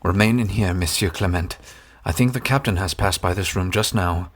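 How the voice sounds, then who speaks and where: An actor playing a stern, protective duke with a formal, measured manner in a period audio drama. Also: male voice, low voice. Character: stern, protective noble duke, courtly host.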